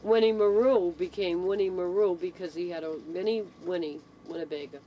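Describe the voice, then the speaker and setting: A woman's voice: fairly low pitch, steady tone, fairly quick, dark tone. A woman explaining things in casual storytelling, matter-of-fact and checking that the listener follows. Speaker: interviewee, local storyteller. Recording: noisy amateur recording with vehicle ambience.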